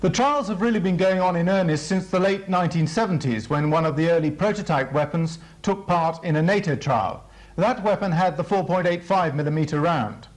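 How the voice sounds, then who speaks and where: A British man presenting a military training film as a formal scripted briefing, measured and explanatory. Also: high voice, low-quality recording.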